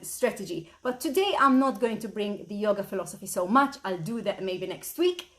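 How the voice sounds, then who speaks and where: Middle-aged Maltese-born woman, business mentor, bright and eager live-stream delivery, slightly high voice. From Malta. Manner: upbeat, eager, repeats "super" a lot, greets viewers by name. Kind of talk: live-streamed talk to viewers. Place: at home at a computer.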